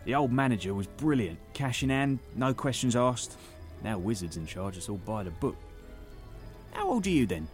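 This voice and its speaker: A man's voice: mid-range voice, sing-song, normal speed, soft background music, lightly acted. An actor playing a breezy young male park worker with a British accent, in naturalistic audio drama style. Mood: gossipy. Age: young adult. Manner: casual, chatty.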